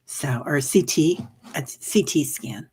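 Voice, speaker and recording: A woman hosting a livestream, chatting casually and rambling with many fillers, reading out viewer comments, coughing and a bit hoarse. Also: sits low, unhurried, warm tone. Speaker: livestream meditation host. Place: home livestream.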